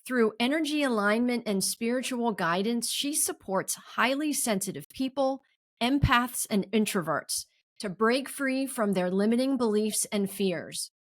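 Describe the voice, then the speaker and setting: A woman's voice: on the high side, expressive, unhurried. A woman hosting a podcast, reading a guest introduction and asking interview questions. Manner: reads a polished introduction, asks questions. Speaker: podcast host.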